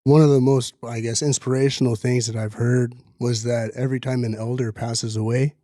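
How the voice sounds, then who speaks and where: Man in his thirties, mellow dark tone, earnest and reflective, personal storytelling, dry close sound. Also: medium pitch, little pitch movement, moderate pace, no echo. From Washington state, United States. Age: 30s. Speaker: community food security worker.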